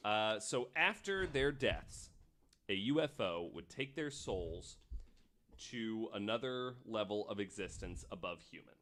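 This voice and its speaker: Low-pitched man presenting a podcast. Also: expressive, a little slow, fairly bright tone. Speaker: podcast host. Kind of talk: casual podcast storytelling from research notes.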